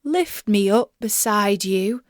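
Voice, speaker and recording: A woman's voice: mid-range voice, lively intonation, no room sound, studio quality. A woman voicing an insistent, pleading male frog in a children's storybook, with formal, old-fashioned speech. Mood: demanding. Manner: formal archaic speech, calling out in drawn-out cries.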